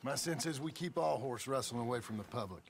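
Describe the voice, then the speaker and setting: An actor playing a cocky, glib outlaw dealmaker in a game, speaking in a folksy way with an American accent. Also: male voice, medium pitch, brisk, a little hiss or hum, close to an everyday voice. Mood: glib, bargaining. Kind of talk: dramatic game cutscene acting.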